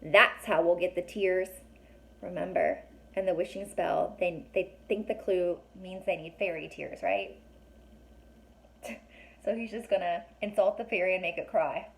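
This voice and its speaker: A woman reading a children's story aloud, pausing to ask young listeners questions and explain things in a chatty way. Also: fairly low pitch, creaky, a little mellow, low-quality recording. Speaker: teacher or reader reading a children's book aloud.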